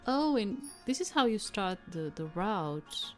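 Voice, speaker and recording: A woman streaming a game, reading visual novel narration aloud in a measured, dramatic way with casual asides. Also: mid-range voice, sing-song. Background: soft game music.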